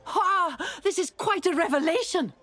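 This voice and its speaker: An actress voicing a studious, earnest woman scholar in a video game, with an expressive, theatrical delivery. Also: extremely high pitch, moderate pace, faint music behind. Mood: astonished.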